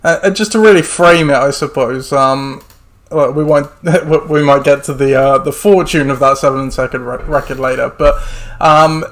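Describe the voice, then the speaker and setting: Slightly hoarse man hosting a sports podcast. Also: on the high side, moderate pace, a bit bright. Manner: rambling, lots of fillers and restarts. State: hoarse, losing his voice. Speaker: podcast host. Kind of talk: casual sports chat.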